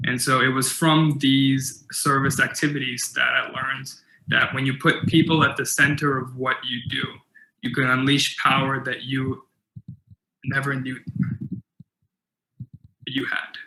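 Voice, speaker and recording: A man's voice: mid-range voice, level tone, takes it slowly, gravelly, long pauses, sharp tone, roomy sound, slight background noise, poor audio. A young man giving an earnest motivational keynote, with stuttered repetitions and fillers. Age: young adult. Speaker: keynote speaker.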